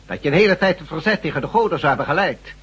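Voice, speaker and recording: A man acting in a radio drama as a smug, menacing god posing as a doctor, deliberate and condescending. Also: a little high, expressive, a bit bright, slightly rough, lo-fi sound, exaggerated voice. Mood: probing, condescending.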